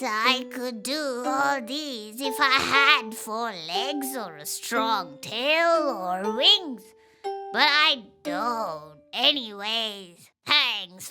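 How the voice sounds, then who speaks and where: A woman's voice: fairly high pitch, lively intonation, very slow, music in the background, exaggerated voice. A woman voicing a sad, earnest young male snake who longs to fly, in a children's storybook. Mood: glum. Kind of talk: children's storybook character acting.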